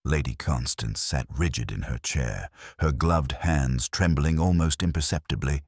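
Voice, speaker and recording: Very deep male mystery narrator. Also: measured pace, slightly rough, no echo, clean studio sound. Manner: literary, solemn, theatrical. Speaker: audiobook narrator. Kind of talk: scripted dramatic mystery narration.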